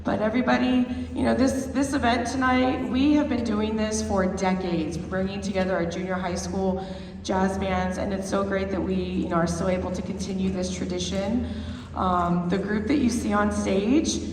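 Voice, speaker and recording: Slightly hoarse woman band director greeting a concert crowd, chatty, in a reverberant pavilion with faint ambience. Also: medium pitch, steady tone, moderate pace, darkish tone, slight background noise. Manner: chatty, with many fillers and restarts. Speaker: high school band director. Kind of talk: concert introduction to an audience. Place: large performance pavilion. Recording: echoey hall, far from the mic.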